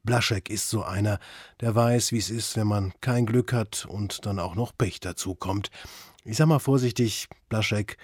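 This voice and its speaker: A man's voice: lowish voice, breathy edge, no echo, clean studio sound. A man presenting a radio drama in a conversational, scripted introduction. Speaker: radio host.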